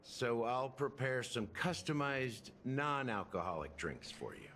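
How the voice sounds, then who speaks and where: A suave, middle-aged game gentleman with a courtly, philosophical turn. His mid-pitched voice swings expressively through theatrical, measured phrases, with music underneath. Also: sing-song. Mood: courteous, genial. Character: suave older gentleman taking over a bar, philosophical. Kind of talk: video game voice acting.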